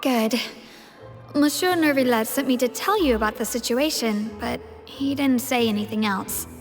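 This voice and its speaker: A woman voicing a polite, composed officer who reports in a formal, measured way, in an English game dub. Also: a little high, in a large hall, faint music behind, lightly acted. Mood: calm, reassuring.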